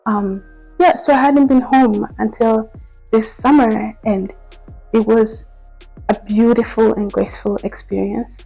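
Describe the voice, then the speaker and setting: A woman's voice: a little high, steady tone, a little slow, creaky, mellow, breathy edge, faint music behind, low-quality recording. A woman in her twenties, from the Democratic Republic of Congo, talking candidly and reflectively in a personal vlog, with lots of "like" and restarts. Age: 20s. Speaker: vlogger.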